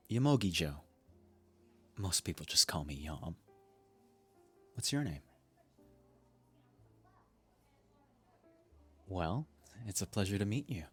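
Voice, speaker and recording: A man acting as a sweet, eager young guy on a meet-cute date in an intimate ASMR audio drama. His voice is very low and husky, and he rambles in a gentle, personable way. Soft music plays along with him. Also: exaggerated voice. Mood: friendly, eager. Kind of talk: ASMR roleplay, intimate scripted romance acting.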